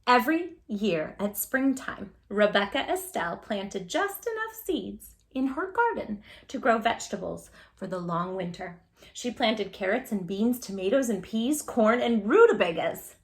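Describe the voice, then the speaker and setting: A woman hosting a children's storytime, speaking in an animated, gushing way straight to young listeners as she chats and reads aloud. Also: medium pitch, measured pace. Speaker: children's storytime host and creator of the channel. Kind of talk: children's read-aloud and direct-to-viewer chat.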